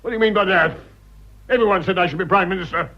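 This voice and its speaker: An actor playing an irascible, vain aging British politician in a radio drama, blustering, indignant and pompous. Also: male voice, high voice, quick pace. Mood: wounded pride. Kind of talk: radio drama acting of a stage comedy. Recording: dull lo-fi radio drama recording.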